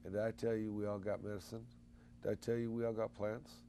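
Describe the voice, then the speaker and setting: Folksy low-voiced man co-host. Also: quick pace, creaky, slight background noise. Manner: animated, folksy, preachy promoting. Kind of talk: casual call-in chat.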